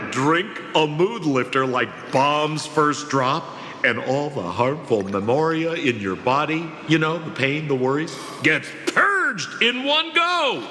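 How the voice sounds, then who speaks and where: An actor playing a flamboyant, mischievous con man in a video game, theatrically chummy and teasing. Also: a little high. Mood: sly, confiding. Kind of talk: video game character acting. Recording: echoey reverberant space with game music.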